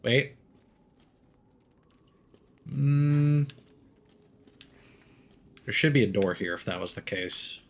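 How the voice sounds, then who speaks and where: A man's voice: medium pitch, animated, drawn-out words, pauses often. A man giving casual live gameplay commentary, musing aloud and reading in-game notes out as he goes. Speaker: video game streamer.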